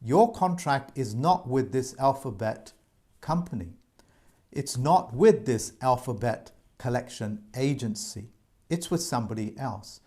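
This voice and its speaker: A man's voice: medium pitch, expressive, a little slow, a little mellow. A man speaks straight to his viewers, calling them folks. His voice sits mid-height and moves a lot, and he keeps circling back to his points with earnest insistence. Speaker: presenter giving debt advice. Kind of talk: informal advice monologue to viewers.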